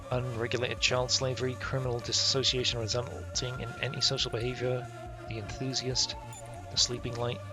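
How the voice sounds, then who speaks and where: A man live-streaming a game, reading its text aloud and adding casual, sweary, offhand comments, with game music behind him. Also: medium pitch. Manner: casual, profane, offhand asides.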